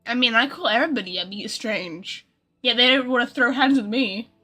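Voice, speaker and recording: A woman's voice: fairly high pitch, a little fast, bright, forceful tone, faint music behind. A woman livestreamer chatting casually and reacting to a game in an informal, teasing way. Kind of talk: casual stream chat, reacting to game dialogue.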